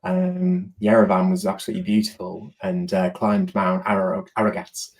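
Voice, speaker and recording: A man hosting a podcast interview, upbeat and quick with short affirmations. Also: deep voice, darkish tone, rough recording. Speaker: podcast host.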